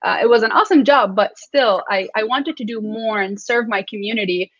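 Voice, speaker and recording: A woman's voice: a little high, brisk, fairly bright tone, no echo. A young woman from Iraq with an Iraqi accent, a native Arabic speaker, telling her story earnestly as a panelist on a video call. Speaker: panelist, science communicator. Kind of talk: online panel talk. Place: small room indoors. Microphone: earbud on video call. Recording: dry, dull video call audio.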